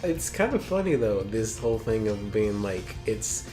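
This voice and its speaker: A man's voice: lowish voice, big pitch swings, moderate pace. A man co-hosting a casual, informal show, chatting and reading aloud with commentary. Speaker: co-host. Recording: loud guitar music behind. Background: guitar music.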